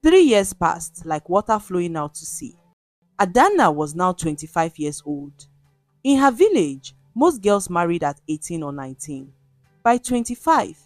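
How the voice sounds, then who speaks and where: Female folktale narrator, dramatic and measured, lower register, close dry recording. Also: no room sound. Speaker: storyteller.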